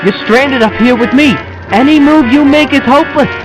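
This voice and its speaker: A man's voice: extremely high pitch, normal speed, music in the background, poor audio. A man playing a taunting, cold-blooded murderer in an audio drama, gloating and goading. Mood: menacing, persuasive.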